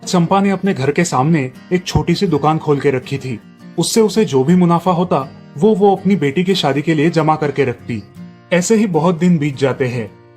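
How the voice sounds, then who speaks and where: A man's voice: fairly high pitch, darkish tone. A man reading scripted narration for a moral family story.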